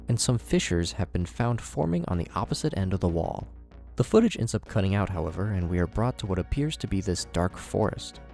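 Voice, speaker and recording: A man with a fairly low voice presents scripted commentary as a video host. His delivery is conversational and very lively in pitch, moving expressively through each sentence. Music plays faintly behind him. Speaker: YouTube host, horror series reviewer.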